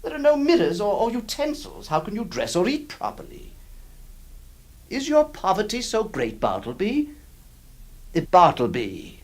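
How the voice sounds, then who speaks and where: An actor playing a middle-aged lawyer who narrates, stammering and theatrical, swinging between mock cheer and pleading. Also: male voice, high voice, huge pitch swings, normal speed, heavily acted. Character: middle-aged male lawyer, flustered, exasperated, kindly. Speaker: lawyer employing a scrivener, narrator. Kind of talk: 1960s radio drama acting.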